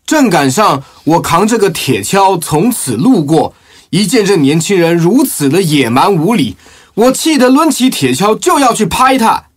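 A man plays a village storyteller in an audio drama. His voice is husky and fairly high, swinging with lively indignation. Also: moderate pace, heavily acted. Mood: indignant, vivid. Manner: animated storytelling.

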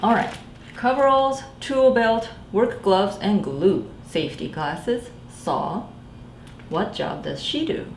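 A woman in her forties, a children's librarian, reading a picture book aloud in a gentle sing-song way and asking the children to guess. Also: medium pitch, expressive, slow pace, creaky, echoey room, background noise. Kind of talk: storytime read-aloud for young children. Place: small library room indoors.

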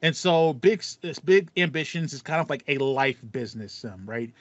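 A man's voice: on the high side, animated, normal speed, no echo. Dry-sounding American man, chatty podcast host. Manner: chatty, playful, slangy. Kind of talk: casual gaming podcast chat. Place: small home room with acoustic foam, indoors. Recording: slightly dull, dry home recording.

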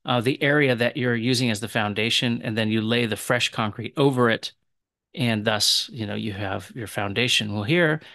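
A man with a gravelly voice of middling pitch, teaching a recorded language lesson. He explains in a relaxed, chatty way. The sound is dry and close, with no room echo. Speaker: teacher of Aramaic.

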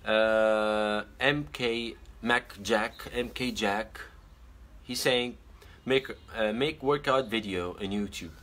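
Earnest young man, Arabic native, lowish voice. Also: a bit bright, a little hiss or hum. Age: young adult. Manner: earnest, advice-giving, halting with fillers. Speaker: pageant titleholder on a live stream. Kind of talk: casual live-stream chat reading viewer comments. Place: small bedroom indoors. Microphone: phone camera at arm's length.

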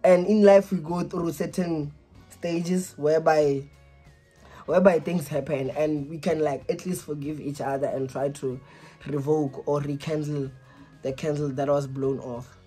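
Husky young man, hesitant and pleading. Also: on the high side, fairly even intonation, measured pace, dark tone, faint music behind. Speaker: vlogger making a prank call. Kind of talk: casual phone conversation. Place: small room indoors.

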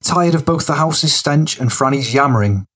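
A man's voice: a little high, animated, normal speed, a bit bright. A man reading scripted narration for a gritty crime fiction audiobook.

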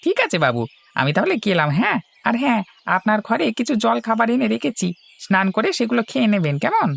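A woman's voice: fairly high pitch, sing-song, fast, creaky, heavily acted. A woman voicing a loyal, chatty male servant in a village cartoon, deferential and folksy. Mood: eager, respectful. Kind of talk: cartoon dialogue.

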